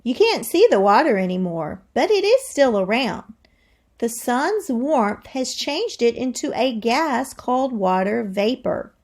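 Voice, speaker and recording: Slow, animated woman teaching a read-aloud. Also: fairly high pitch. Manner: deliberate, expressive, thinking aloud. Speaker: teacher reading aloud to young children. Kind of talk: read-aloud lesson with questions to the listeners.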